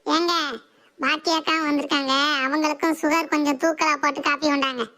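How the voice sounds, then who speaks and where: A woman voicing an assertive, sharp-tongued housewife and mother in a comic cartoon. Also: sits high, steady tone, a little fast. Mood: breezy. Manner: bossy, challenging, sarcastic.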